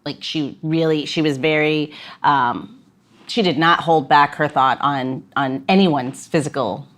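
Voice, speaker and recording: A woman's voice: low voice, fairly even intonation, measured pace, a bit bright. A woman hosting a podcast interview, chatty and giggly with lots of fillers. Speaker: podcast host. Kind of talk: casual chat interview.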